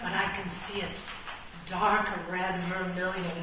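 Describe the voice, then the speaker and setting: A woman's voice: on the low side, flat delivery, a little slow, lightly acted. A woman acting a bookish, brooding chess pawn with a poet's soul in a comic radio play, with pompous, precise, theatrical delivery. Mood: gloomy, grandiose. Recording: roomy, distant, noisy stage recording.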